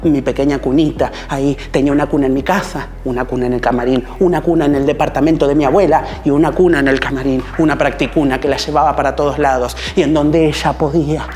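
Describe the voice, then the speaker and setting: A man's voice: on the high side, steady tone, quick pace, hardly any pauses, darkish tone, slight background noise, lightly acted. Man acting intense, insecure Argentine artist. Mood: impassioned. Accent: Buenos Aires Argentine. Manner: theatrical, repetitive, dramatic emphasis. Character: insecure, intense, self-dramatizing male artist. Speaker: actor in an improvised monologue. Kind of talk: improvised theatrical character monologue.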